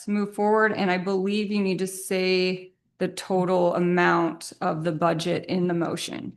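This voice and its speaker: A woman chairing a public council meeting, brisk and procedural as she calls a roll-call vote. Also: on the low side, level tone.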